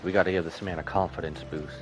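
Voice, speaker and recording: A man's voice: low voice, steady tone, quick pace, over music. A man giving casual, slangy live reaction commentary as a game streamer. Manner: slangy, informal.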